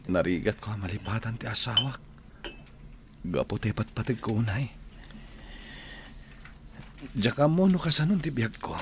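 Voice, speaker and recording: A man's voice: on the low side, expressive, moderate pace, pauses often, background noise. A man with an Ilocano Filipino accent playing a sorrowful widowed son in a radio drama. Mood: sorrowful, grateful. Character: grieving widowed son, sorrowful and devoted to his wife.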